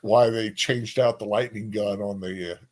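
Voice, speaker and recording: A man's voice: on the low side, steady tone, moderate pace. A middle-aged man co-hosting a livestream, chatting casually as a fan. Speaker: livestream co-host.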